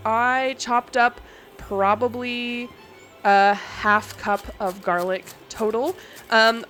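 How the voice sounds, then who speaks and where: A woman hosting a cooking video in a casual, chatty way. She sounds congested from allergies. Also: fairly high pitch. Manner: chatty, relaxed, talks while cooking. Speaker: cooking channel host. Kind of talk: casual cooking vlog narration.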